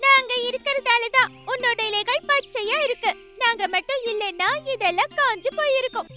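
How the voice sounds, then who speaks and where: A woman's voice: very high voice, moderate pace, music in the background, voice pushed up. A woman voicing an arrogant, taunting magic mango in a cartoon fable. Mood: defiant, boastful. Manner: boastful, taunting.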